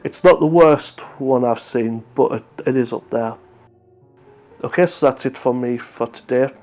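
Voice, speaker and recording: A man's voice: medium pitch, measured pace, darkish tone, faint music behind. A man hosting a reaction video, giving a casual, unscripted review in a hesitant, rambling, matter-of-fact way. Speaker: YouTube reaction host.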